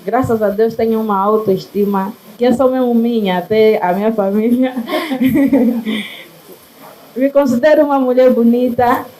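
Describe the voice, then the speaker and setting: A woman's voice: a little high, moderate pace, pauses often, dark tone, low-quality recording. A woman in an audience asking an unscripted personal question, searching for her words.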